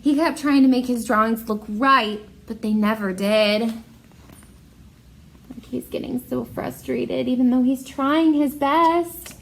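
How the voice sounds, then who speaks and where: A woman teacher with an expressive, encouraging manner, reading a picture book aloud and talking directly to young pupils. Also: high voice, measured pace, vocal fry.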